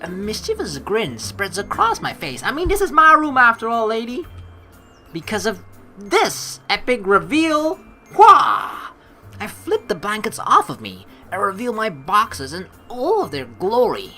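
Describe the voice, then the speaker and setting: Lively, bright-voiced young woman gamer. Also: on the high side, a little slow, faint music behind. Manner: playful, teasing, theatrical. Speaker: let's play host. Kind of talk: playful game commentary mixed with dramatic read-aloud narration.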